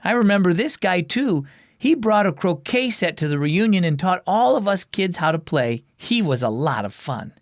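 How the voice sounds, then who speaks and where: A man's voice: sits high, big pitch swings, normal speed, dry recording, studio quality, pitched above the performer's own voice. Young storybook boy , acted by a man: playful, blunt, lifted voice with varied intonation.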